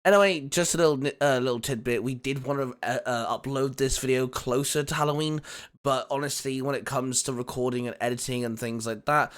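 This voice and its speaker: A man's voice: medium pitch, fairly bright tone. A man co-hosting, rambling through a friendly outro with plenty of fillers. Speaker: co-host doing the outro. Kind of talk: casual channel plug and outro.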